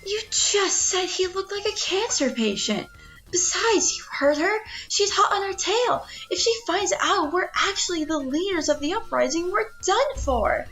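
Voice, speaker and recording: A woman's voice: very high voice, lively intonation, moderate pace, fairly bright tone, full character voice, low-quality recording. A woman voicing an anxious, sceptical animal rebel sidekick in a cartoon parody, worried and exasperated, with music behind her.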